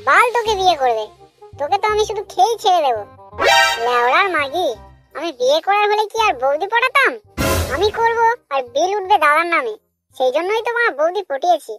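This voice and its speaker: A man voicing a cocky, foul-mouthed young womanizer in a comic cartoon, with a pitched-up voice and a brash, bossy manner. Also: animated, brisk. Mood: cocky contempt. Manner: brash, bossy, swearing constantly. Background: loud jingly children's music.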